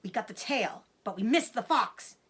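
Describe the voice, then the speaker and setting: A gruff, determined farmer man from a children's storybook , as a woman reader plays him. He speaks bluntly and impatiently, with an emphatic, swinging delivery and a husky tone. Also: on the high side, animated, normal speed, fairly bright tone, heavily acted. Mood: frustrated. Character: gruff, determined male farmer hunting a fox.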